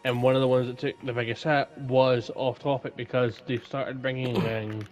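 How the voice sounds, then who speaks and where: A man's voice: medium pitch, flat delivery, normal speed, a little mellow, faint music behind. A man chatting casually as a podcast co-host. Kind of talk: casual chat.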